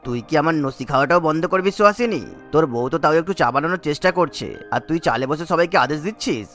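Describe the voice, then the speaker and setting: A man's voice: fairly high pitch, lively intonation, fast talker, a bit bright, soft background music. A man voicing a querulous, demanding elderly village uncle in a cartoon. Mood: indignant. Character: cranky elderly village uncle, grumbling and self-important. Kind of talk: cartoon character dialogue.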